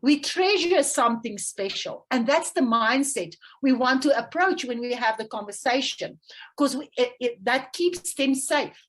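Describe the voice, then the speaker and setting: High-voiced Afrikaans-woman coach. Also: animated, a little slow. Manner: warm storytelling, laughs often, checks in with "okay". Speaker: parenting coach. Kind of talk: interview guest explaining.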